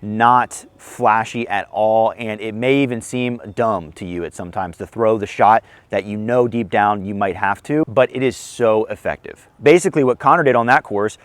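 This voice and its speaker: A young man with a slightly hoarse voice hosts a casual disc golf tips vlog outdoors on a course, friendly and self-deprecating as he explains. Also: fairly low pitch, moderate pace, few pauses, no room sound. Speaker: disc golf video host.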